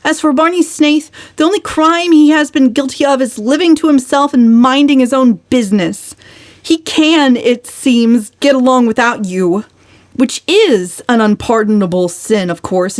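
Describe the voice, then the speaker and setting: A brazen young woman from a dramatized novel, voiced by a female reader. Her voice sits high and lands each retort with biting emphasis. She is sharp-tongued and scornful, in a dry, close sound. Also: a little slow, no room sound, close to an everyday voice. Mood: defiant, scornful.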